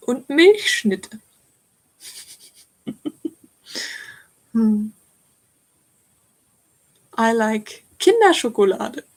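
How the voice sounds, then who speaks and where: A German-accented woman in her twenties hosts a live crafting stream and reads out viewer comments. She talks unhurriedly in a slightly high voice, chatty and giggly, speaking straight to her audience. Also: slightly rough, rough recording. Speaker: stitching channel host.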